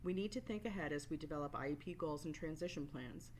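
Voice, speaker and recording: A woman's voice: low voice, fast, a little mellow, slight background noise. A woman teacher giving a scripted, explanatory training presentation as a voice-over. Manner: instructional, explanatory. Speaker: work-based learning resource teacher.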